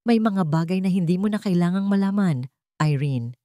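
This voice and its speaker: Woman acting secretive husband, weary, dismissive, curt replies, romance audio drama, mellow, dry recording. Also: fairly low pitch, animated, moderate pace, no echo, close to an everyday voice. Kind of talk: acted dialogue in an audio romance story.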